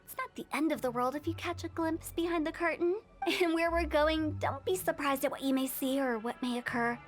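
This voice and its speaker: A woman's voice: high-pitched, fairly quick, lightly acted. An actress voicing a playful, mischievous young woman who runs a funeral parlor in a game, with a teasing sing-song delivery. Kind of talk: game voice acting. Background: faint game music.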